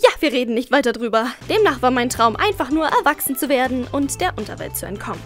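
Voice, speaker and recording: A young woman reads fan questions aloud and answers them in a teasing, sarcastic way. Her voice sits high for a woman. Soft music plays quietly in the background. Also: big pitch swings, fast talker. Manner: playful, sarcastic, joking. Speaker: VTuber host.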